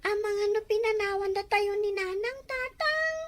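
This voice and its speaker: A woman's voice: extremely high pitch, lively intonation, moderate pace, a bit bright. A woman with an Ilocano accent playing an anxious, plaintive young girl, a dutiful elder sister, in a radio drama.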